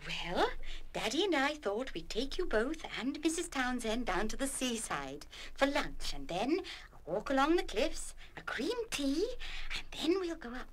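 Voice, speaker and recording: A woman playing a gentle mother in an audio drama. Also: high-pitched, big pitch swings, unhurried, slight background noise. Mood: pleased, tender. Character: gentle, pleased mother of a schoolgirl. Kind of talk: full-cast audio drama acting.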